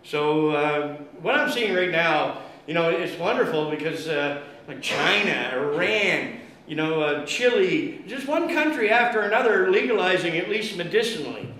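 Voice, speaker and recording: Loud, fervent man in an echoey room. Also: a little high, lively intonation, normal speed, hardly any pauses. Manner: loud, fervent and rallying, with many fillers. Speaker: guest speaker at an activist meeting. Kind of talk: impassioned public speech. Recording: very echoey room with faint noise.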